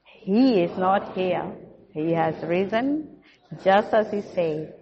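Slow-spoken Kenyan woman preaching in a hall. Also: mid-range voice, lively intonation. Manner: measured, warm church address with frequent "uh" fillers. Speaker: guest preacher. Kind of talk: sermon. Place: church hall. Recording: dull recording with long hall reverb.

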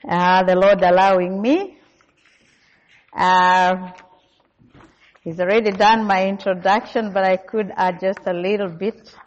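Kenyan woman preaching slow and measured, lots of uh's, muffled sound with long hall echo. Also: medium pitch, fairly even intonation. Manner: measured, warm church address with frequent "uh" fillers. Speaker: guest preacher. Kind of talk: sermon. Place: church hall. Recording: dull recording with long hall reverb.

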